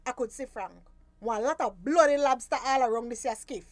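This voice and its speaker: Actress as firm woman fisheries officer, Belizean Creole, high voice, dry close sound, admonishing but fair. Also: fairly quick, no echo, close to an everyday voice. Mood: dismayed. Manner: stern, admonishing, lecturing. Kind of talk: radio drama acting.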